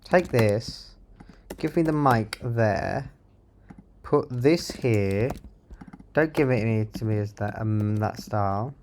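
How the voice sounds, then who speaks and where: A man's voice: lowish voice, slow pace, a little mellow, slight background noise. A man narrating his own coding work as a developer, thinking aloud in an informal, half-muttered way. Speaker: software developer presenting own project.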